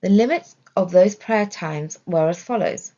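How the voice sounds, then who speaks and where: A woman's voice: fairly low pitch, measured pace, mellow. A woman reading a scripted religious study as narration, in a dull, muffled recording. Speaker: reader of religious study text.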